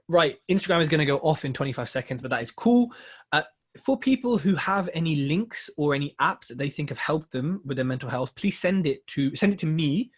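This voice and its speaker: A man hosting a podcast, chatting casually with plenty of fillers and restarts as he steers the conversation. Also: medium pitch. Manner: rambling, filler-heavy, asks questions and runs the show. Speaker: podcast co-host.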